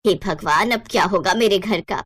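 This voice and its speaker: A woman's voice: mid-range voice, normal speed, a bit bright, husky, pitched below the performer's own voice. A woman voicing a worried older mother-in-law in a story, exasperated but loving, with a fretful, plaintive delivery.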